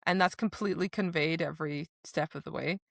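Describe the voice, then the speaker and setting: Expressive, lowish-voiced woman podcast co-host. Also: a little fast, gravelly, no room sound, clean studio sound. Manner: expressive, gushing, acts out quoted dialogue. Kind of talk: casual book discussion chat.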